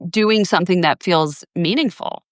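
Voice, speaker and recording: A woman's voice: on the low side, normal speed, no room sound, clean studio sound. A woman in her forties, hosting a casual live video conversation, reflective and thinking aloud with many restarts. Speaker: host. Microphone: video call.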